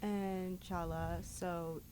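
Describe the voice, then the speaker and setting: A woman's voice: on the low side, level tone, drawn-out words, background noise. A young woman interviewing students, asking probing questions in a conversational way.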